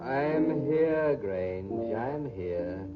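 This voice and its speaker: An actor playing the vengeful ghost of a murdered man, deliberate and sinister, in old-time radio drama style. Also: mid-range voice, expressive. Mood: taunting. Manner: slow, deliberate, sinister taunting. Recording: muffled vintage radio recording with music.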